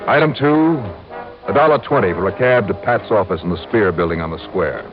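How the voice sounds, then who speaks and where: Actor as deep-voiced, dogged radio detective, old muffled recording. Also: male voice, a little fast, over loud music, lightly acted. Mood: wry, brisk. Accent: American. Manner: clipped, punchy, wry delivery. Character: wry, dogged male freelance insurance investigator. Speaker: lead actor and narrator. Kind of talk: 1950s radio detective drama acting, first-person narration.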